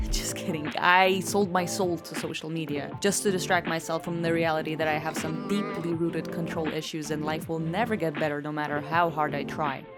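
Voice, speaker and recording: Sardonic young woman, low voice. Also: moderate pace. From Egypt. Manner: sardonic, self-deprecating, deadpan. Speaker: vlogger. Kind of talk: scripted comedic voice-over narration. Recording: voice-over with background music.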